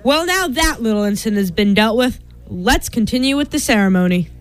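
Woman acting a high, animated, prim bartender. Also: a little fast, natural voice. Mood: prim, concerned. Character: concerned, prim bartender who officiates weddings. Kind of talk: comic radio play acting.

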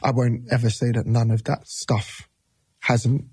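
A man's voice: medium pitch, level tone, measured pace, a little mellow, slightly rough, no room sound. A man in his thirties, a musician guest, talking casually in an interview, loose and rambling with many restarts.

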